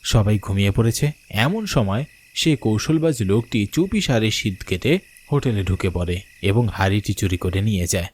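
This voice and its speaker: A man's voice: on the low side, lively intonation, moderate pace, darkish tone, slightly rough. A man narrating a scripted fairy-tale cartoon as storyteller.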